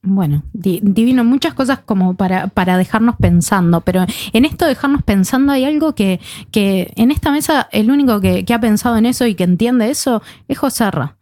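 A woman's voice: mid-range voice, a little fast, few pauses, warm tone, no room sound. Easygoing woman host, dry sound. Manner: easygoing, hands over to the next speaker. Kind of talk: moderating a panel.

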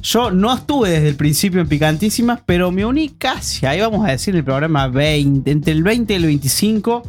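A man with a Córdoba Argentine accent chats on community radio as a co-host. His voice sits a little high, and his cheerful talk rambles with fillers. Faint music plays behind him. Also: expressive, brisk, hardly any pauses. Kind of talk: casual radio chat.

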